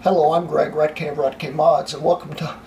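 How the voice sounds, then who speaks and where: Young male tech vlogger, a bit high-pitched, hoarse, flustered, recorded from a distance in a small computer room with a light hiss. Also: steady tone, normal speed, a little mellow. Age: young adult. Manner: flustered, laughing at his own slip-ups. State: losing his voice, coughing. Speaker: tech channel host. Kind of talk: blooper reel of a tech vlog. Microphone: camera mic from a few feet away. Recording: distant camera mic, faint room hiss.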